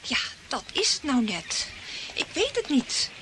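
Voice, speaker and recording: An actress playing a flirtatious, well-spoken woman client in a detective radio play, coy and flattering. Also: high-pitched, a little slow, slight background noise, heavily acted. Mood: confiding.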